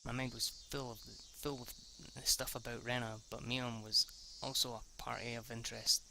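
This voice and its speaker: A man reading visual novel narration aloud for a let's play, a bit halting, with sweary asides. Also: medium pitch, little pitch movement, creaky, noisy background. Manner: halting, stumbles over words, sweary asides.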